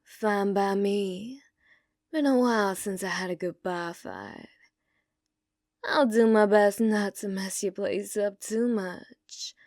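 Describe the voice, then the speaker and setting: A woman's voice: medium pitch, slow pace, darkish tone, dry recording, clean studio sound. A woman playing a dominant, possessive female bounty hunter in an ASMR roleplay, taunting and sultry, often dropping into whispers. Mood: cocky, challenging.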